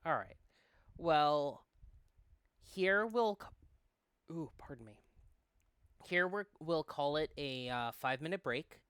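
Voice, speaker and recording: A man's voice: on the high side, lively intonation, takes it slowly, long pauses, fairly bright tone, clean tone, no room sound, studio quality. A person, a man, hosting a game playthrough in casual chat and reading aloud. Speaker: host of a visual novel playthrough. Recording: music behind the voice.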